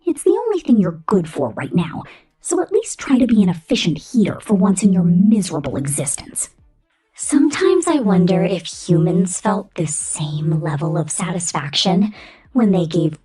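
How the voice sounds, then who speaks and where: Woman acting breathy, cruel robot overseer, swooping pitch. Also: a little mellow, full character voice. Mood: smug, mocking. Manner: condescending, menacing, slow theatrical delivery with frequent whispers. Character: cruel, domineering female killer robot corporate supervisor. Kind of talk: scripted ASMR roleplay.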